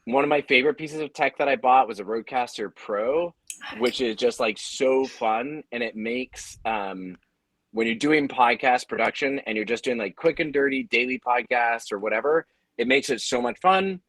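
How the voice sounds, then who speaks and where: Mid-pitched man with dry, close sound. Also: normal speed, fairly bright tone, dry recording. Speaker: podcaster and audio creator, panelist. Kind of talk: casual explanatory panel talk.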